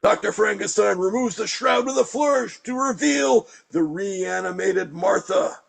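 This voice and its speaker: A man with a high, creaky voice acts as writer and narrator of a comedy sketch. He talks casually as he assigns parts and reads out the stage directions. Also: unhurried.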